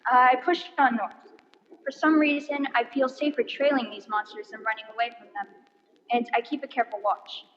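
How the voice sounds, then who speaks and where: A woman's voice: on the high side, steady tone, normal speed, natural voice. A woman playing a weary, haunted professor and lone survivor in a radio play monologue. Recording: reverberant hall, dull stage recording.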